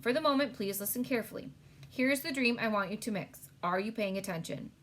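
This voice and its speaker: A woman voicing a clever, excited little storybook girl who gives emphatic instructions. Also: medium pitch, fairly quick, slight background noise, close to an everyday voice. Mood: insistent.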